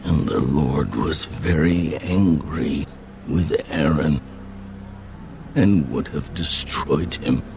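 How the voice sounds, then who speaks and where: An actor playing a solemn elderly prophet who rebukes his people in a grave, deliberate, oratorical way for a dramatized audio Bible. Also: rumbling low, noisy background, exaggerated voice. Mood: solemn recounting.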